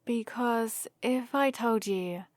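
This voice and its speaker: A woman's voice: fairly high pitch, slow, no room sound, studio quality. A woman playing a friendly, teasing human heroine in an ASMR roleplay, explaining things casually and patiently to the listener. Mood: playful, friendly. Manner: casual, playful, explanatory rambling. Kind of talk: ASMR roleplay, one-sided conversation with the listener.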